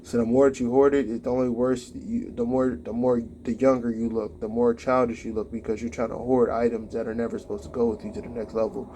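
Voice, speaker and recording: A man's voice: mid-range voice, little pitch movement, fairly quick, few pauses, mellow, slight background noise, low-quality recording. A man in his late twenties giving casual spiritual advice in a rambling monologue, full of "like" fillers. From United States. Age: late 20s.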